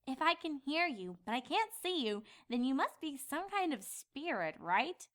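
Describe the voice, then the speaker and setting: A woman voicing an excitable, inquisitive young female pony in a cartoon fan fiction, questioning and emphatic. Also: sits high, normal speed. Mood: puzzled.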